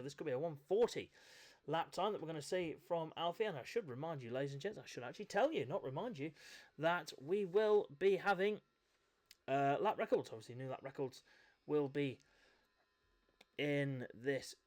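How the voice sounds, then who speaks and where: A man doing live commentary on a motorcycle esports race, a little croaky from a chest infection, chatty with self-mocking asides. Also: a little high, expressive, a little fast. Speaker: race commentator and event host.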